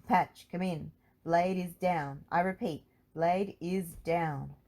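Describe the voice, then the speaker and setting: A woman voicing an eager young male racing plane training as a firefighter in a children's storybook, earnest and excitable. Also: fairly low pitch, slow. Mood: urgent.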